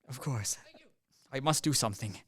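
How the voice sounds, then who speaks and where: Weary career soldier for radio drama, male actor: mid-pitched, apologetic, practical, sighing, dryly wry, very dry sound. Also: breathy edge, dry recording. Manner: apologetic, mild, wry, with sighs and hesitations. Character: weary, practical professional soldier, politely self-deprecating. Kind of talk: radio play acting.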